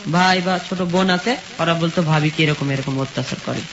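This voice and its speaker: A young man from rural Bangladesh recounts his life step by step in plain, colloquial words, quoting what people said. His voice is high for a man, and the recording is dull with a clear sizzling noise behind him. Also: a little fast. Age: young adult. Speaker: guest telling his life story. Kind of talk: personal storytelling in an interview, quoting dialogue.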